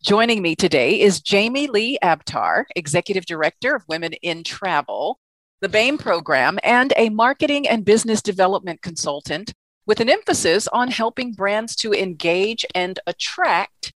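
A woman's voice: mid-range voice, expressive, normal speed, a bit bright, no room sound, poor audio. A woman hosting a travel show, reading a welcoming introduction and then asking her guest questions. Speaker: podcast host. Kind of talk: scripted introduction then interview.

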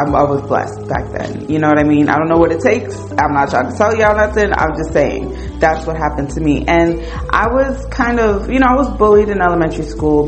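A woman in her forties from New Jersey, hosting a podcast and telling a personal story in a candid, conversational way with lots of fillers. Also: sits low. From New Jersey, United States. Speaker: podcast host. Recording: music playing behind the voice.

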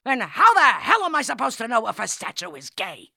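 A woman's voice: fairly high pitch, sing-song, brisk, gravelly, sharp tone, dry recording, studio quality, exaggerated voice. A woman playing an exasperated, argumentative New York wife in an audio comedy, speaking with sarcastic, indignant emphasis. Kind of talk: comedic audio drama acting.

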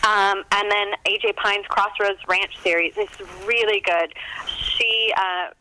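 A woman with a bright, forward voice speaks as an author being interviewed. She chats casually and keenly, with an enthusiastic, talkative manner. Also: mid-range voice, level tone, slow, a little hiss or hum, poor audio. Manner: chatty, enthusiastic about books. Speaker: guest author.

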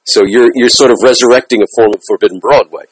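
A man's voice: medium pitch, fairly even intonation, fast, slightly rough, dry recording, rough recording. A man hosting a radio interview, chatty and enthusiastic, often restarting his phrases. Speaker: interview host.

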